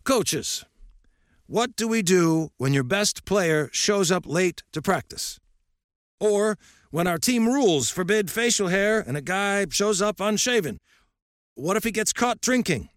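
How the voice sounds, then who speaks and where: A man voicing a gruff, fiery old baseball coach who barks and bellows at a crowd. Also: sits high, expressive, a little slow, no room sound, studio quality, pitched above the performer's own voice. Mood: barking. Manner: barking, bellowing.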